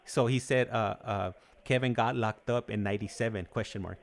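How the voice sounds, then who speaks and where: A man hosting a live call-in show in a casual, halting, agreeable way, often repeating himself. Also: on the low side, steady tone, gravelly. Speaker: host of a livestream call-in show.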